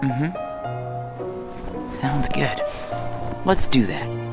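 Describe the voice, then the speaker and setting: Hesitant, earnest teenage boy, slow-paced. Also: medium pitch, sing-song, exaggerated voice. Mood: subdued, wistful. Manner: hesitant, soft-spoken, halting. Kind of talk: dramatic video game voice acting. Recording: music under the voice.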